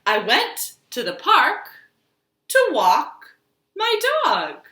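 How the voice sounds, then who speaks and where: A woman hosting a children's storytime, reading and reciting rhymes in an expressive, sing-song, exaggerated way for young children. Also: high-pitched, extremely slow. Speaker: children's storytime host at a library. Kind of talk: children's storytime with action songs, rhymes and picture-book reading. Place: living room at home. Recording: echoey room.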